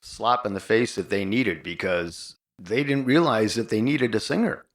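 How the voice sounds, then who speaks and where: A man's voice: on the low side, normal speed, fairly bright tone. A man hosting a casual interview in a chatty, conversational style. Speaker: interviewer, host.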